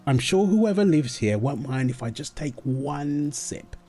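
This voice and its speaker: A man's voice: a little high, lively intonation, measured pace, mellow, soft background music. A man voicing a curious, innocent little girl from a storybook, with a sing-song, childlike delivery.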